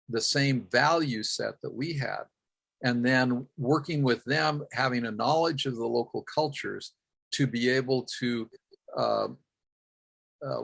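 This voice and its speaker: Man, workshop presenter, gravelly voice, halting with lots of "uh"s. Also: mid-range voice, a bit bright. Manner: frequent "uh" fillers and self-corrections. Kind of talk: recounting a field project and answering questions.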